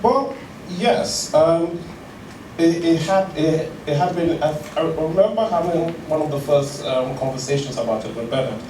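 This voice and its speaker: A man's voice: a little high, expressive, normal speed. A man speaking hesitantly, with many fillers and restarts, in a conversational interview. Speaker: guest, tech founder. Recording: roomy, distant mic with clear background noise.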